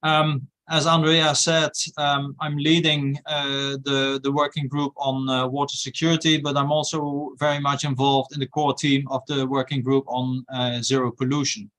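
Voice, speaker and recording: Flat-voiced Dutch man, guest speaker. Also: medium pitch. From Netherlands. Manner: measured, with frequent ums. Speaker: university research director, guest speaker. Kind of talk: webinar talk.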